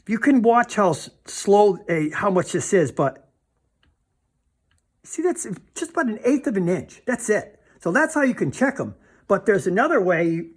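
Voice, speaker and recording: A man giving a casual, plainspoken repair demonstration, thinking aloud informally as he handles parts. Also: sits high. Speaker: DIY car repair demonstrator.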